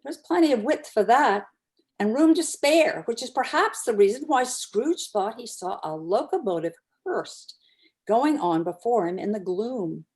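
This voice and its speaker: A woman giving an expressive, deliberate dramatic reading of a classic story, pausing for emphasis as a community reader. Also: fairly high pitch.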